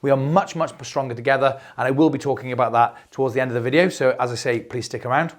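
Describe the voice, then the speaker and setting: A man's voice: medium pitch, quick pace. A middle-aged man hosting a crypto video, talking off the cuff as he loses his voice, rambling and repeating words with many fillers. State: losing his voice. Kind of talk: unscripted explainer monologue.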